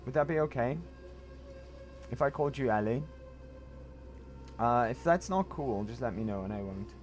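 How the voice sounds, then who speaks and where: Croaky, lively-voiced male game streamer. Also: mid-range voice, moderate pace, faint music behind. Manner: chatty, jumpy exclamations, greets viewers. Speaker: livestreamer. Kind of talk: casual gameplay livestream chat.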